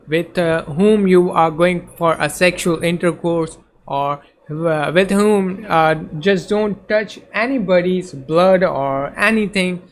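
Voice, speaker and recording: A man whose first language is Urdu, teaching in a casual lecture over slides, hesitant, with many fillers and restarts. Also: high-pitched. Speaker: tutor.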